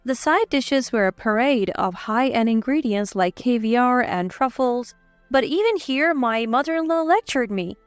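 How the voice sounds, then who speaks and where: A woman's voice: on the high side, lively intonation, a little slow, no echo. A woman reading a scripted, dramatized first-person story as its narrator. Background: background music.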